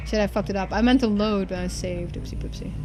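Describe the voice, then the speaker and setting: A woman's voice: mid-range voice, fast talker, mellow, faint music behind. A woman streaming a game, informally thinking aloud and reading the narration. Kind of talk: casual game commentary and read-aloud narration.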